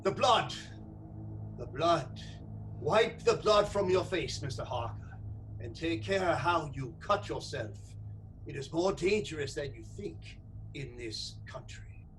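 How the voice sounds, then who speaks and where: A man's voice: on the high side, animated, takes it slowly, faint music behind. An actor playing a courteous, sinister vampire nobleman in a radio play, speaking in a formal, menacing, mock-polite manner. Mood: ominous. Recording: distant voice with loud background noise.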